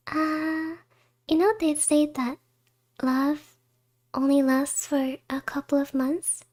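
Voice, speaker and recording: A woman playing a shy, adoring young wife in an ASMR roleplay, speaking softly and hesitantly with breathy whispers. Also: high-pitched, little pitch movement, slow pace, long pauses. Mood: happy, affectionate. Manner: hesitant, tender, soft-spoken with breathy whispers.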